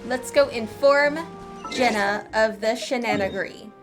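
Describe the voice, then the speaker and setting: A woman's voice: on the high side, a little slow. A woman giving casual, chatty playthrough commentary on a story game, playfully talking back to it. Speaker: streamer playing a story game. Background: game music.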